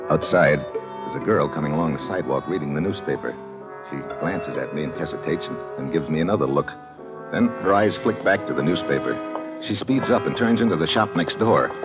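A man's voice: very deep voice, expressive, brisk, over loud music. An actor playing a tough, wry American adventurer who narrates his own case in a 1950s radio drama, sardonic and clipped. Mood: tense narration. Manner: hard-boiled, sardonic, clipped. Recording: muffled vintage radio recording.

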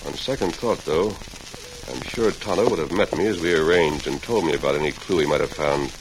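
A low, deep male voice a steadfast western hero. He speaks with deliberate authority, as in a 1940s radio adventure. The old recording hisses heavily. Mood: grave, resolute. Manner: deliberate, authoritative, soothes his horse. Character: heroic masked cowboy lawman, steady and commanding. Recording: noisy, hissing vintage radio recording.

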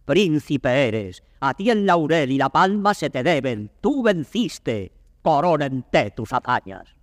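An actor playing a defeated old king and father, declaiming classical Spanish verse with a Castilian accent in grave, drawn-out phrases. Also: on the high side, big pitch swings. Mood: moved, solemn. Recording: reverberant hall, vintage studio drama record.

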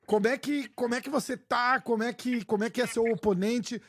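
A Brazilian host with a notably high voice chats loosely with his guest, full of slang and restarts. The sound is close and dry, with no room around it. It comes across as a relaxed studio conversation. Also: a little fast, no echo. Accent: Brazilian Portuguese. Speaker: podcast host. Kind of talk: casual interview chat.

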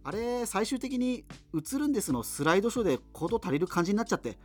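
An actor playing a nostalgic, wry radio host from the future, rambling conversationally through a monologue. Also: male voice, high voice, sing-song, fast talker. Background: music.

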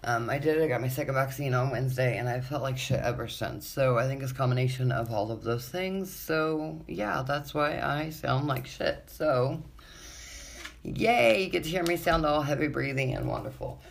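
A woman hosting her own podcast in casual, rambling chat, her voice raspy and wheezy from allergies, swearing freely. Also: extremely low pitch, normal speed. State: congested from allergies. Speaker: podcast host.

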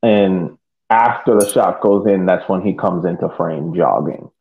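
Over a thin, telephone-like connection, a man with a fairly low voice talks through a soccer match as a podcast co-host. He is casual and measured, hesitating and repeating phrases as he reasons. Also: vocal fry. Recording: tinny phone-like connection.

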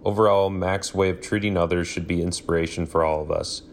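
A man from Michigan reading a sincere, measured listener message to a podcast. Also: deep voice, fairly even intonation, slight background noise.

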